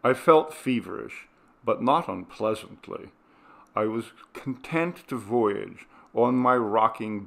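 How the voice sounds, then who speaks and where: A male narrator reads a ghost story aloud in a measured, hushed manner. His low voice has a gravelly texture, and he paces every line very slowly. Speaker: audiobook narrator.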